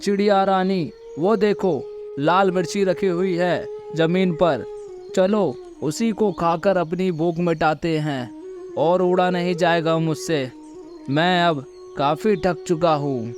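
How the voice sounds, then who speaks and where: A man plays a sly vulture from a kids' cartoon tale. He talks slowly in a high male voice with ingratiating politeness, over clearly audible music. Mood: tired, coaxing. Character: male vulture, flattering, wily, brotherly traveller. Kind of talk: children's moral story cartoon dialogue.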